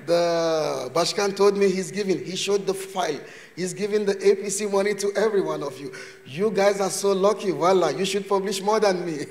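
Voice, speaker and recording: A man's voice: high-pitched, moderate pace. A man giving a chatty conference lecture in loose English, mixing in Turkish words and acting out little scenes. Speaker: invited lecturer. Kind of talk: conference talk with Q&A. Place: university conference hall. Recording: reverberant lecture hall.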